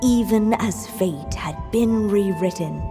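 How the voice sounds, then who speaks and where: A woman playing a solemn storyteller in a video game, narrating a fairy tale in a deliberate, lyrical way. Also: medium pitch, in a large hall, music in the background.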